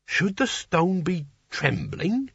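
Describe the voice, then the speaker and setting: A man's voice: sits high, huge pitch swings, slow, gravelly, a bit bright, slightly rough, dry recording, dull audio, pitched above the performer's own voice, full character voice. A man voicing an earnest, polite young would-be barbarian hero in an audiobook, eager and a little tentative. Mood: puzzled. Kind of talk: audiobook character dialogue.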